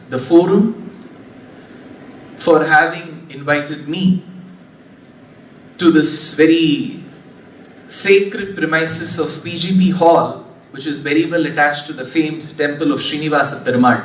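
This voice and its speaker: High-voiced man in an echoey hall. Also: fairly bright tone. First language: Tamil. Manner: slow, measured, formal with scriptural terms. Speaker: religious discourse speaker. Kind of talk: spiritual discourse in a hall. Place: large hall before an audience. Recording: echoey hall, distant mic, faint hiss.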